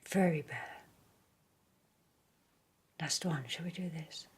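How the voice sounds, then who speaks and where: A woman reading poems aloud in a hushed, soothing whisper, as in ASMR. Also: deep voice, slow, husky. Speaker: reader.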